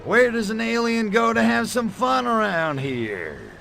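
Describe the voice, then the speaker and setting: Cocky gravelly little alien. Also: male voice, sits high, big pitch swings, a little slow, pushed and bright, faint music behind, exaggerated voice. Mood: brash, irritated. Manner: wisecracking, slangy, brash swagger. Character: cocky, lecherous little male alien invader. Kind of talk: video game cutscene voice acting.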